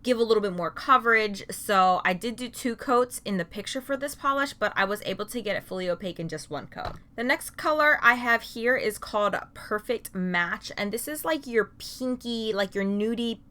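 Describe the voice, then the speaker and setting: A young woman hosts her beauty channel in a chatty, upbeat way, talking through one item after another. Her voice is bright and forward, and her pitch rises and dips as she talks. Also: animated, moderate pace, few pauses. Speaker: beauty vlogger. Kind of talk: casual product review.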